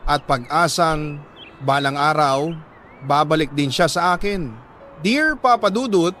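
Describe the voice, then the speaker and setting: Male Filipino storyteller, slightly high voice, sentimental dramatic narration with pauses, some noise behind. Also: a bit bright. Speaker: radio-style story narrator reading a listener's letter. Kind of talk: dramatic scripted first-person narration of a love story.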